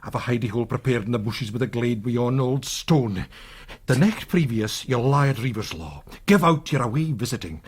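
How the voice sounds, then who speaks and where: An actor playing a canny, worldly Scottish villager with broad dialect and a wry, scheming manner in a period radio drama. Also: male voice, medium pitch, lively intonation, normal speed, husky. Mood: conspiratorial.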